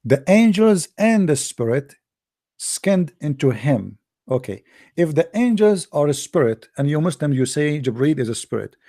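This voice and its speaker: A man's voice: medium pitch, creaky, warm tone, dry recording, studio quality. A man with an Arabic accent, a native Arabic speaker, pressing his points in a heated debate and repeating himself when interrupted.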